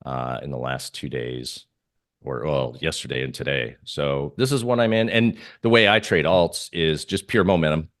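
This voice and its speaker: A man speaking as a guest in a casual interview about markets, halting and thinking aloud as he goes. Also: a bass voice, a bit bright, no echo, clean studio sound. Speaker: trader guest being interviewed.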